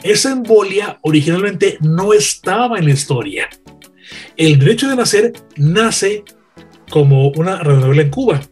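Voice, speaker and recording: Emphatic higher-pitched man, slightly husky. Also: expressive, normal speed, soft background music. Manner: emphatic, repeats phrases for effect. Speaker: veteran radio broadcaster. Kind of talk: animated anecdote-telling in a panel chat.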